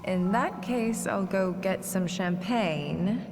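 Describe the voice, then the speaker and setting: A woman's voice: mid-range voice, takes it slowly, music in the background. An actress playing a poised, wry society woman in a video game, speaking without hurry. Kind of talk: video game cutscene acting.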